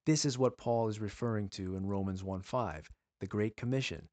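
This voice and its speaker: A man giving an earnest sermon-style teaching, asking his listeners pleading rhetorical questions and slowing down to stress key words. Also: lowish voice, darkish tone, no room sound.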